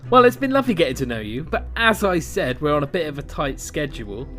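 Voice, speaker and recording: A man's voice: on the high side, sing-song, fast talker, bright, forceful tone. A man playing a menacing, sarcastic gang henchman with a taunting, mock-polite manner in an audio drama. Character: menacing, mocking male henchman gang leader, theatrically sarcastic.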